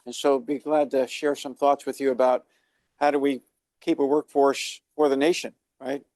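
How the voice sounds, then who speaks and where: A man speaking on a conference panel, working through his opening remarks in a halting way with frequent restarts. His voice sits at a middle pitch and sounds close and dry. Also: little pitch movement, a little mellow, no room sound. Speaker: Army Corps of Engineers official on a panel. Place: conference forum.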